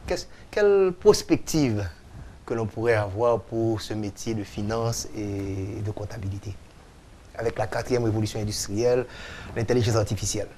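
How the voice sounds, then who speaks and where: A man's voice: on the low side, moderate pace, darkish tone, slight background noise. Male TV host, formal. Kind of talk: broadcast interview.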